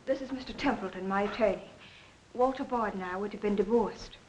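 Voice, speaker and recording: An actress playing a composed but bitter estranged wife in a 1940s crime film, formal and emphatic. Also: female voice, mid-range voice, fairly quick, a little mellow, slight background noise, natural voice, poor audio. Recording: old 1940s film soundtrack.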